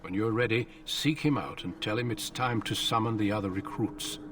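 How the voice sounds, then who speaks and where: An actor playing a grave, seasoned male warden commander in a fantasy game, speaking in a measured, formal, solemn way. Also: fairly low pitch, a bit bright, far from the mic, lightly acted. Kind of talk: fantasy video game voice acting.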